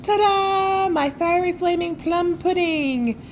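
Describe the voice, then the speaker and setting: Woman playing a flustered, high-voiced storybook hostess. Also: takes it slowly, slight background noise, full character voice. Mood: excited, proud. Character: flustered, bustling older woman, Santa's hostess wife. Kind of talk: children's storybook character voice.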